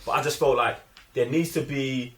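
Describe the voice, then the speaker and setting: A man's voice: medium pitch, fairly even intonation, moderate pace. A man with a London accent in a casual film discussion, talking emphatically in heavy slang and repeating himself to press his points. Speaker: film review show host. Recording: roomy, echoing room sound.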